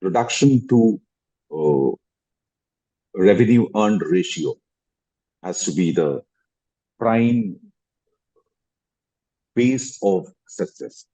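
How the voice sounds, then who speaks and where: A man's voice: fairly low pitch, animated, extremely slow, long pauses, warm tone, husky. A man in his fifties with an Indian English accent, a guest actor explaining his views over a video call, restarting phrases and rising with emphasis. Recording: dull lo-fi online call audio.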